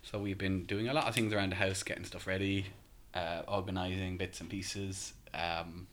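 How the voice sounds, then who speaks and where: This is a man in his thirties with an Irish accent, talking casually as a podcast guest. His voice sits very low. He hesitates and restarts often, filling gaps with ums. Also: level tone, normal speed, fairly bright tone, a little hiss or hum. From Ireland.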